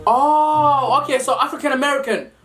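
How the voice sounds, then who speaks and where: A woman's voice: high voice, normal speed, fairly bright tone. A young woman from Somalia hosting her vlog, eating while she teases a stranger on a video call in a playful, mock-indignant way. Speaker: vlogger host. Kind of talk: casual video chat with a stranger. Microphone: webcam video call. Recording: echoey room with background music.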